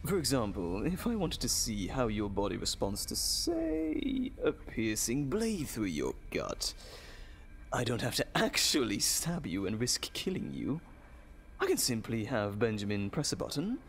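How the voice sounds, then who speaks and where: Gleeful gravelly mad scientist, wildly swooping. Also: male voice, medium pitch, wildly swooping pitch, a little slow, slight background noise, full character voice. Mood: lecturing. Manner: theatrical, gloating, swooping between croons and outbursts. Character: gleeful sadistic male evil scientist doctor, theatrical and menacing. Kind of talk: audio drama villain acting.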